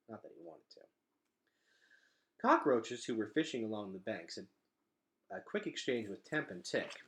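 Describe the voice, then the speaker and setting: A man reading a children's novel aloud in an expressive, dramatic storytelling style. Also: on the low side. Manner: animated, dramatic delivery.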